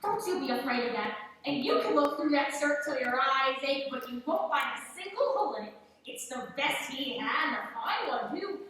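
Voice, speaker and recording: A high, bright-voiced female scavenger, acted by a woman in a radio play. She is brazen and scornful, gloating with emphasis in a very echoey space. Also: lively intonation, moderate pace. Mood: defiant. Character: brazen, scornful working-class female thief selling plunder. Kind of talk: live radio play acting. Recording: echoey room, distant microphone.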